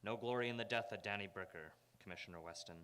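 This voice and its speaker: A man's voice: lowish voice, fast, pushed and bright, a little hiss or hum. A man playing a grave, ominous crime-fighting avenger, declaiming solemnly in a live radio drama. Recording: long hall reverb on voice.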